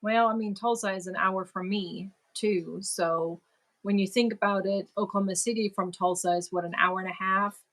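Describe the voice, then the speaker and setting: A woman's voice: mid-range voice, fairly even intonation, normal speed, fairly bright tone, poor audio. A middle-aged woman from Germany, chatting casually with viewers as host of a crochet livestream and laughing easily. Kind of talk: casual livestream chat answering viewer comments.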